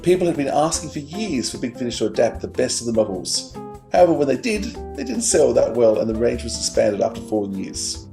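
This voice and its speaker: A man's voice: mid-range voice, very animated, a little fast, gravelly, over music. A man co-hosting a fan podcast, presenting facts and chatting. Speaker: podcast co-host. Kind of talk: trivia read-out and fan chat.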